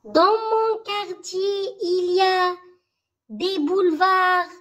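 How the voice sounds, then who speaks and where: An extremely high, child-like voice recites a poem very slowly. The lines come in short, measured phrases with pauses between them. It is delivered as a school recitation meant for learning by heart. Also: steady tone, a bit bright. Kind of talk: slow poem recitation for memorization.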